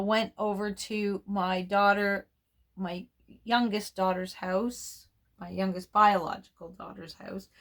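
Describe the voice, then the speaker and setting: Hoarse froggy-voiced woman, 50s, rambling on and laughing a lot, at home off camera. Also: mid-range voice. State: hoarse, strained voice. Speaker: reborn doll vlogger. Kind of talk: casual chatty storytelling.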